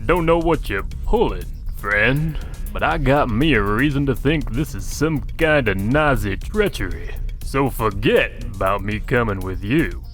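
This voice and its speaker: Slow-drawling tough Southern hero. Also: male voice, medium pitch, lively intonation, a bit bright, faint music behind. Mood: defiant, threatening. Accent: Southern American. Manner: drawling, defiant. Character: defiant drawling American pilot prisoner, tough and suspicious. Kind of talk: animated adventure acting.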